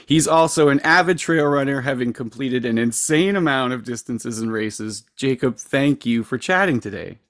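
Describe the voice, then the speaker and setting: A man's voice: medium pitch, a bit bright, no room sound, studio quality. A man hosting a podcast, reading his intro and interviewing a guest in an upbeat, appreciative way. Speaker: podcast host.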